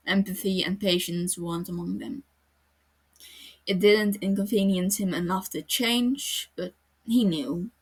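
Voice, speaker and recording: A woman's voice: mid-range voice, slightly rough, rough recording. A woman narrating a fan fiction chapter aloud in an earnest reading voice, loosening into a chatty, filler-filled tone when speaking for herself. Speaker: podfic reader.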